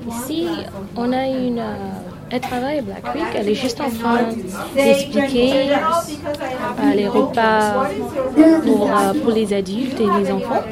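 A woman's voice: fairly high pitch, a little slow, over a noisy crowd. A woman volunteering at a community centre, answering questions hesitantly. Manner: hesitant, searching for words. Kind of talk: interview answers.

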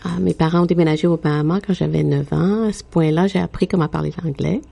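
Interview guest: woman, 60s, lowish gravelly voice, hesitant storyteller. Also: fairly even intonation, warm tone. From Quebec. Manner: frequent hesitations with "euh", unhurried. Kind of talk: interview, telling her life story.

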